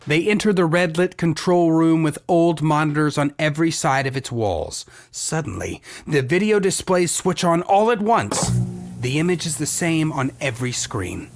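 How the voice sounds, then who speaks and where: A man's voice: on the high side, expressive, normal speed, soft background music. A man giving theatrical scripted narration as the storyteller of an adventure audio drama.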